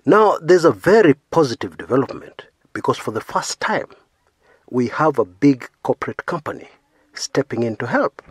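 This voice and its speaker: Male commentary host from Kenya, second-language English, earnest and emphatic, animated intonation, slightly husky. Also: mid-range voice, normal speed, no echo, studio quality. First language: not English. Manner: earnest, emphatic, frequent "yeah" tags, rising stresses on key words. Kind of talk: opinion commentary monologue.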